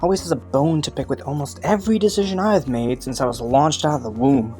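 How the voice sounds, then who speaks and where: A woman voicing a kind, playful young prince in an intimate roleplay drama, speaking politely with hesitant rambles and gentle teasing. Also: deep voice, fairly quick, lightly acted. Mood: polite, flustered. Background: faint music.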